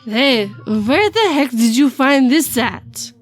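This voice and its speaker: Woman playing a cagey French shopkeeper, unhurried. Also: high-pitched, animated, faint music behind, heavily acted. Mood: startled, grave. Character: older French male art shop keeper, cagey and reluctant.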